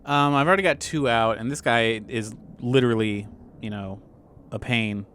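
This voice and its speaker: A man's voice: mid-range voice, normal speed, a bit bright, slight background noise. A man playing a tabletop game, chatting in an easygoing way with "you know" fillers. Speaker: player. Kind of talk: casual tabletop game talk.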